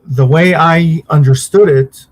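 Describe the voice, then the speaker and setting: Hesitant man, pausing for words. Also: on the high side. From Israel. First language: Hebrew. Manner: halting, searches for words, many pauses. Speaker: interview guest, uncle of a victim. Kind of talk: conversational interview answers.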